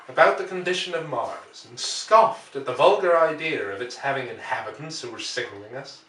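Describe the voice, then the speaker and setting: A man reading literary fiction aloud as an audiobook narrator, measured and expressive, with deliberate dramatic pauses. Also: fairly high pitch. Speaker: audiobook reader. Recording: echoey room, distant microphone.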